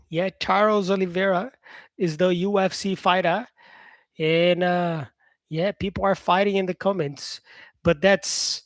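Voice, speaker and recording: A man who teaches English, talking casually to his viewers about their comments, upbeat and encouraging, often restarting himself and filling pauses. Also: high voice, a bit bright, no room sound. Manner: rambling, restarts himself, many fillers.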